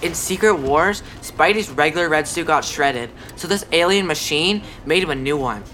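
Low-pitched, friendly twelve-year-old boy. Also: lively intonation, moderate pace, fairly bright tone, a little hiss or hum. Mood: helpful, matter-of-fact. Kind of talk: audio drama acting.

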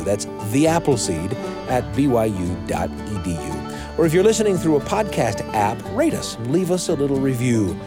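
Male radio host, scripted outro, lively melodic delivery with clear guitar music underneath. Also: mid-range voice.

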